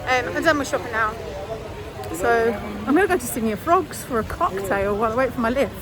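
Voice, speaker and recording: A woman with a British accent, chatting casually and self-mockingly to her phone camera, laughing often, her voice a little croaky and sleepy. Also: on the high side, animated, fairly quick, crowd noise, rough recording. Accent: British English. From England. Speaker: vlogger. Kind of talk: casual vlog chat.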